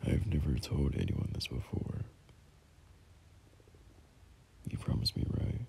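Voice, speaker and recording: A man playing a gentle, teasing young boyfriend in an intimate ASMR roleplay, speaking softly and breathily, often half-whispering in a hesitant, soothing way. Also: rumbling low, animated, moderate pace, gravelly, full character voice. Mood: hesitant, confiding. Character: gentle, teasing young boyfriend comforting his scared partner. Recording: faint background hiss.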